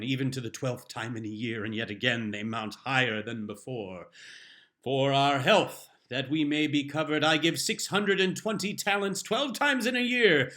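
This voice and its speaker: A man's voice: medium pitch, sharp tone. A man performing a comic reading as a stern, exasperated father who proclaims household laws in pompous, solemn, mock-scriptural style. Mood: aggrieved. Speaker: reader performing a humorous essay.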